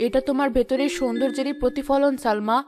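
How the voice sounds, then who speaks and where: A woman voicing a gentle, wise forest fairy in a cartoon fairy tale, speaking earnestly. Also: high-pitched, steady tone, quick pace, music in the background, natural voice. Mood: wise, encouraging.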